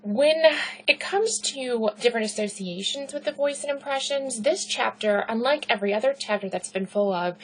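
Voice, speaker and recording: Loud, chatty woman lecturing. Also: normal speed, husky, poor audio. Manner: loud, pitchy, conversational with rhetorical questions; frequent "right?" and "okay" check-ins. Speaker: instructor. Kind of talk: recorded lecture.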